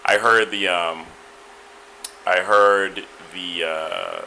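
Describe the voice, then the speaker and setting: Low, bright-voiced man with a Caribbean accent. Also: very animated, creaky, slight background noise, low-quality recording. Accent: Caribbean, Guyanese and Trinidadian. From Guyana. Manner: reflective, measured, with frequent restarts and "you know". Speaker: interview guest. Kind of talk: conversational interview answers.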